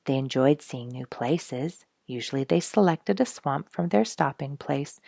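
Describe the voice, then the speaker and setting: A woman reading a children's story aloud as narrator, with expressive storybook phrasing. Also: sits low, gravelly, dry recording, studio quality.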